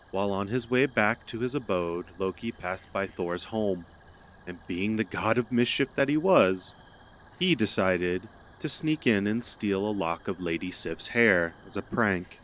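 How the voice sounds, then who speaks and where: Male narrator, lowish voice, scripted dramatic storytelling, background noise with birds and insects. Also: a bit bright, low-quality recording.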